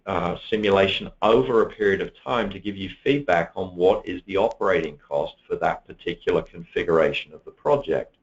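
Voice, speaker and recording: A man giving a steady, explanatory walkthrough of software features in a webinar, with an occasional um. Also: on the low side, dull audio. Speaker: software presenter.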